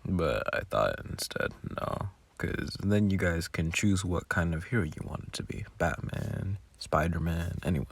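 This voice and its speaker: A man's voice: deep voice, animated, unhurried, creaky, hardly any pauses, husky, dry recording. A man who makes audio roleplays, giving casual, rambling behind-the-scenes commentary with muttered asides.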